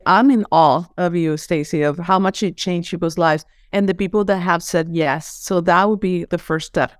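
A woman's voice: lowish voice, normal speed, clean tone, no echo, clean studio sound. A middle-aged woman from Venezuela with a Venezuelan accent, a holistic coach and former psychologist, speaking earnestly and effusively as a podcast guest. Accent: Venezuelan Spanish. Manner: earnest, effusive, repeats words at starts. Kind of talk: podcast interview.

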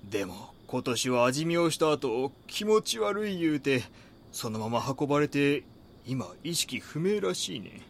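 An actor playing a gruff, wary older neighbourhood chief with a Kansai accent in an audio drama. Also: male voice, on the high side, big pitch swings, a little slow, exaggerated voice.

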